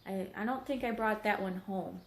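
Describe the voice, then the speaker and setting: A woman's voice: medium pitch, moderate pace, slight background noise. A woman teacher reading a story aloud to young children, explaining things simply and checking in with questions. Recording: roomy, echoing home recording.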